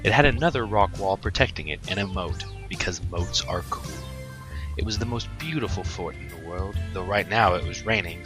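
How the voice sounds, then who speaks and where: A man hosting a fan fiction reading, narrating the story expressively. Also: low voice. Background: music.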